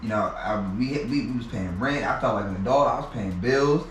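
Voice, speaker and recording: A man as an interview guest, telling a personal story in a halting way with many restarts and repeated words. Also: mid-range voice, slight background noise. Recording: echoey room, mic at a distance.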